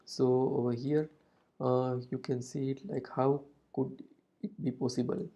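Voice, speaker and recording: A man's voice: mid-range voice, little pitch movement, a little slow, dark tone, dull audio. A man whose first language is Hindi, explaining code step by step in a screen-recorded programming tutorial, with frequent "uh" fillers and non-native phrasing.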